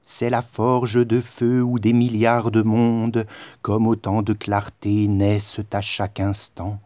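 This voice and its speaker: A man recites poetry in a solemn, declamatory way. His delivery is very slow and measured, broken into short phrases with pauses. The tone is dark and mellow, and the sound is close and dry. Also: lowish voice, level tone, dry recording. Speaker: poet reciting own poem.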